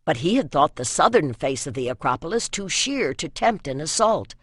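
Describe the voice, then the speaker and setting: A narrator reads an epic fantasy novel with solemn, expressive drama. The delivery is scripted storytelling, recorded close and dry in a studio. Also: mid-range voice, normal speed, no echo. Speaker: audiobook narrator.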